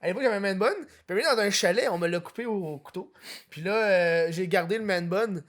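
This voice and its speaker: A man with a Quebec French accent hosting a podcast, chatting casually, teasing his guest and quick to laugh. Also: sits high, fairly bright tone, slight background noise. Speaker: podcast host.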